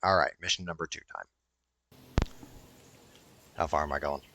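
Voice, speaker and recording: A man's voice: rumbling low, steady tone, a little slow, pushed and bright, slight background noise. A man rambling through casual gameplay commentary, swearing and sounding wryly exasperated as he streams. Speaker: video game streamer.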